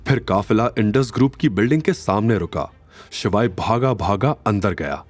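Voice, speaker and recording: Husky, animated male story narrator. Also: on the low side, normal speed, faint music behind. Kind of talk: dramatic scripted story narration.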